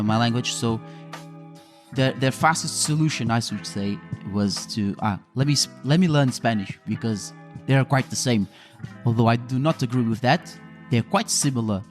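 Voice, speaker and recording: A man with a Portuguese accent, a native Portuguese speaker, hosting a casual interview in a rambling, hesitant way. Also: medium pitch. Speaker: podcast host interviewer.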